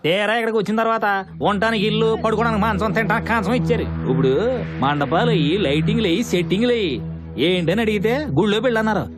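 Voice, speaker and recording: A man's voice: high-pitched, rapid-fire, over music, natural voice. A man playing a garrulous, fussy, grumbling wedding guest in a film comedy. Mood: chatty grumbling. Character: nosy, chattering, grumbling wedding guest from groom's side.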